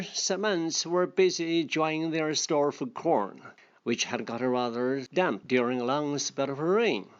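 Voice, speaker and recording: A narrator reading a children's fable and explaining English words in a deliberate, instructional manner. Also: mid-range voice. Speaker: storyteller and English vocabulary teacher. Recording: dull, muffled lo-fi sound.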